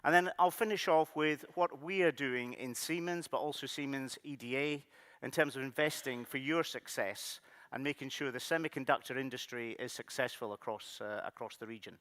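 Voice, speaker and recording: A man's voice: mid-range voice, normal speed, a bit bright. Scottish man giving a conference keynote. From Scottish Highlands. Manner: friendly, loose delivery with frequent fillers. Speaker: keynote speaker. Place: large conference hall. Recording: conference hall with long reverb.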